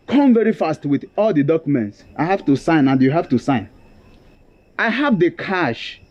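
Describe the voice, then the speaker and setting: A man's voice: on the high side, darkish tone, lo-fi sound. A man playing a nervous land buyer who pleads and repeats himself. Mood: impatient. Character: nervous male land buyer, terrified when robbed.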